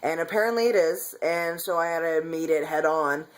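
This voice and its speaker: A man's voice: on the high side, normal speed, smooth, low-quality recording. A man talking candidly in a casual personal vlog, rambling with frequent restarts and self-corrections. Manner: candid, confessional. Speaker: vlogger.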